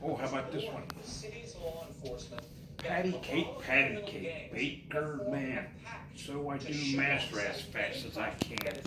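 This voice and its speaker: A man reading nursery rhymes aloud to his child in a sing-song, unhurried way, pausing and repeating lines. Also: mid-range voice, roomy sound, over a noisy crowd, low-quality recording.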